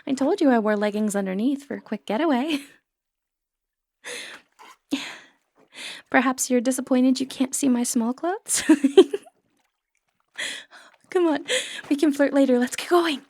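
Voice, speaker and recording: A woman playing a sly, confident, flirty thief in a fantasy roleplay, playful and cheeky with breathy asides. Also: high-pitched, normal speed, darkish tone, no echo. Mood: teasing. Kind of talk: scripted fantasy ASMR roleplay, addressing the listener.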